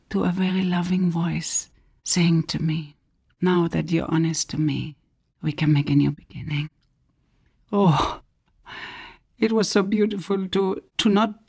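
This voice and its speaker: A middle-aged woman from Germany, an author telling her personal story in an earnest way that drops to soft whispers. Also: fairly low pitch, vocal fry, breathy edge. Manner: earnest, drops to soft whispers when recounting inner moments. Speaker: self-published author. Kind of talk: personal testimony.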